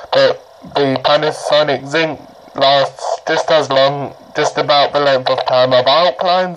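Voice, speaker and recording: A man giving a casual, rambling how-to explanation, halting and often repeating his words, as he demonstrates a product. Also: mid-range voice, fairly bright tone. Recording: noisy amateur recording, clicks.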